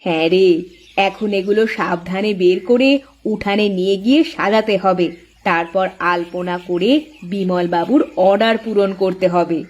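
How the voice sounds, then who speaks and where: A woman's voice: mid-range voice, normal speed, lightly acted. A woman voicing a protective, hardworking elder co-wife in a village cartoon, speaking in a firm, motherly, advising way. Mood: caring, firm. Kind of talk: cartoon voice acting.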